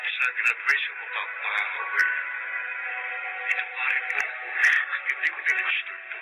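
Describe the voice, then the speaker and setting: Gentle, worried Filipino father played by an actor. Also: medium pitch, fairly even intonation, moderate pace, close to an everyday voice, rough recording. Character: worried, gentle middle-aged father on a call. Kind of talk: drama dialogue. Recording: very loud music over dialogue.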